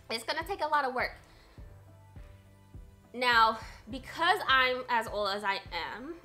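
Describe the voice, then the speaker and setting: A woman's voice: a little high, sharp tone. A woman in her late twenties talking casually to her camera about her budget, earnest and repeating points for emphasis. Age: 20s. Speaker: budgeting vlogger.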